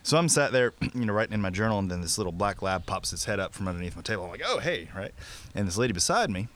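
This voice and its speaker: A man's voice: on the low side, racing, a bit bright. A man, a guest singer-songwriter from North Carolina, casually telling a story in conversation. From North Carolina, United States.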